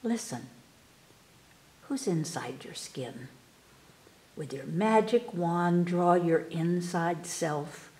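A woman reading children's poems aloud at storytime, expressively and with careful, animated delivery for young listeners. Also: on the low side, gravelly, reverberant. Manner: animated, unhurried, enunciating for children. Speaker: library storytime reader. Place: indoors in a room, book filmed on table.

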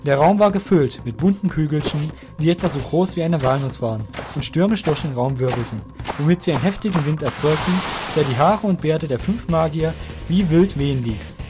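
Higher-pitched male storyteller over music. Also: darkish tone. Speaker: narrator. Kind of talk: scripted fantasy audio drama narration.

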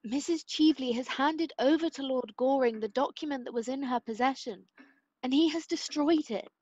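A woman's voice: sits high, normal speed, darkish tone, no echo, muffled recording. A woman playing an upright, anxious politician's wife in a period radio play. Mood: reassuring, firm. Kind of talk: amateur radio play acting of a period comedy.

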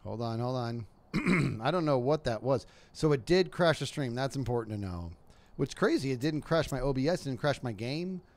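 A man's voice: medium pitch, lively intonation, moderate pace. A man streaming a game, chatting casually with rambling restarts and frustrated muttering. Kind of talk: casual gaming stream chat.

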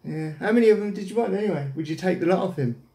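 A man's voice: high-pitched, rapid-fire, gravelly, mellow. A man in his thirties with an English accent chats casually as a caller, hesitant and rambling, in a domestic room. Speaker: prank caller. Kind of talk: casual phone chat. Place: small domestic room, indoors. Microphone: camera at about arm's length. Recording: echoey room.

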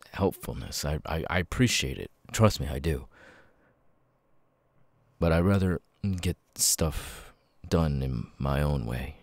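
A man voicing a weary, homeless young ex-convict with tics, halting and stammering in an ASMR audio roleplay. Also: extremely low pitch, creaky, dry recording, heavily acted. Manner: halting, stammering, with abrupt repeated-word tics. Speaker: voice actor. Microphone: close microphone, dry.

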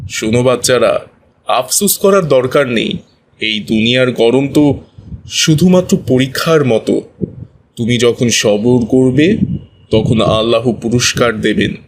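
A man's voice: mid-range voice, slight background noise, close to an everyday voice. A man voicing a kind, wise village religious teacher in a children's cartoon, patient and instructive. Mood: consoling. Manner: patient, didactic, measured phrasing.

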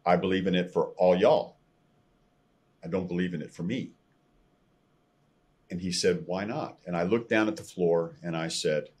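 An older American man with a very low voice and a rough, husky edge. He shares a personal story as a podcast guest, earnest and a little halting. He speaks from a room at home over a video call. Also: a little mellow. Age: older, around 60. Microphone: video call, computer microphone at desk distance.

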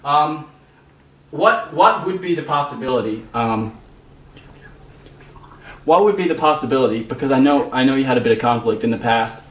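Young male, prank phone caller posing as producer. Halting, repetitive delivery, a bit distant from the mic. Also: mid-range voice, muffled recording. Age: young adult. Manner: hesitant, repeats phrases. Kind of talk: improvised prank phone call. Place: small bedroom, indoors. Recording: roomy, slightly distant microphone.

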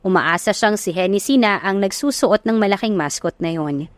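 A woman's voice: mid-range voice, moderate pace, dry recording. Dry-sounding woman narrating a romance story. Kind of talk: scripted audiobook romance narration.

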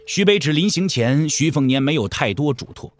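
A man narrating an audio drama in a measured, literary storytelling style. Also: a little high, animated.

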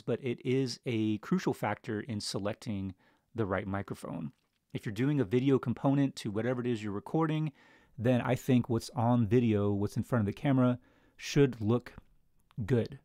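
Low-pitched man reviewing gear, dry sound. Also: no echo. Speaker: tech reviewer, video host. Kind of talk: explanatory product review to camera. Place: small home studio room, indoors. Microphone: close broadcast microphone on desk stand.

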